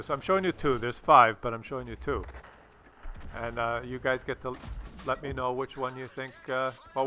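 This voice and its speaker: A man giving casual gameplay commentary and coaching in a rambling, halting way, often repeating himself. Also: mid-range voice, sharp tone, slight background noise. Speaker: gaming video host and commentator.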